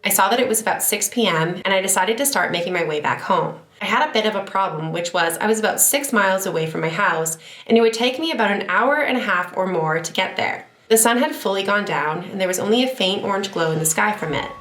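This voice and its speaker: A woman's voice: medium pitch, quick pace, few pauses, roomy sound. A woman who is stuffed up from a cold, chatting casually and then reading scary stories aloud as the host of a horror story channel. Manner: chatty and self-deprecating in the intro, steady when reading. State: congested from a cold.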